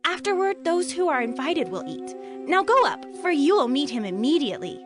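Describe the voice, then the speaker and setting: A woman's voice: high-pitched, very animated, a little fast. A woman playing a helpful, earnest young water-drawer in a dramatized scripture. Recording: music behind the voice.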